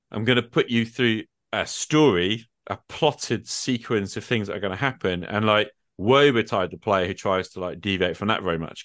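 A man with a very low voice talks casually, thinking aloud as he goes. The recording is close and dry, with next to no room sound. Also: fairly bright tone, no room sound. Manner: informal, thinking aloud, peppered with "you know" and "like". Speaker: tabletop role-playing gamer in discussion. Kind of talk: casual conversational discussion.

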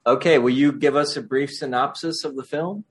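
Male podcast host, mid-pitched, easygoing and joking. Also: a little fast. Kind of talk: casual podcast conversation.

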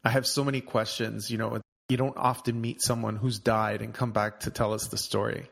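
A man's voice: fairly low pitch, level tone, a little fast, creaky, a bit bright. A man hosting a podcast, enthusiastically introducing and questioning his guest. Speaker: podcast host. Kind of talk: podcast interview.

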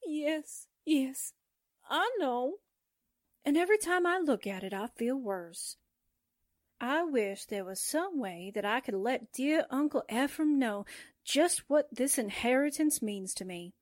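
Naive, dreamy girl sidekick, played by an actress: high, wistful, gushing, old-time radio comedy-drama, dry sound. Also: lively intonation, slow, no room sound. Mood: gushing, tearful. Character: dreamy, gullible, sentimental young woman secretary sidekick.

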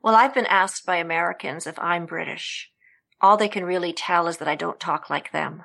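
A woman joins a podcast discussion as a guest, weighing her words and adding qualifications. Her voice sits somewhat low and carries a gravelly fry. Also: moderate pace, bright, forceful tone.

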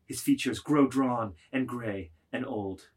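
Measured narrator reading stage directions. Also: medium pitch, darkish tone.

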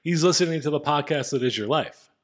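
Man, deep voice, laid-back podcast co-host, reads listener reviews. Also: animated, a little fast. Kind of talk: casual comedic chat.

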